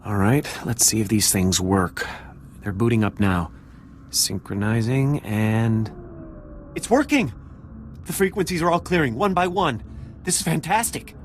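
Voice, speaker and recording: Guy doing an eager game tech, mid voice, earnest and excitable, bit of noise behind. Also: mid-range voice, measured pace, natural voice. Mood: excited. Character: earnest, excitable male surveillance technician, grateful. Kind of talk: video game dialogue.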